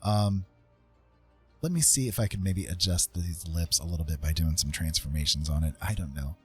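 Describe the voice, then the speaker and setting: A man with a lowish, husky voice talks casually on a live art stream. He thinks aloud in a hesitant, self-effacing way, slipping into gentle tutorial mode. Faint music plays behind him. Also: normal speed. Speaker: streamer and digital artist.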